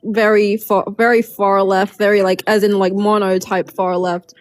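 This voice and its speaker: A woman talking in a relaxed group chat. She is loose and quick to laugh, and she swears freely. Her voice sits at a middle pitch and sounds dry and close, with no room around it. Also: no echo. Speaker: live stream panelist.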